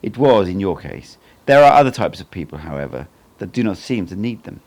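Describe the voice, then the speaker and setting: A man's voice: low-pitched, very animated, darkish tone. Man playing a cryptic old sorcerer teacher. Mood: matter-of-fact. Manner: cryptic, blunt, measured. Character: old sorcerer teacher, jovial, mysterious, teasing, wise.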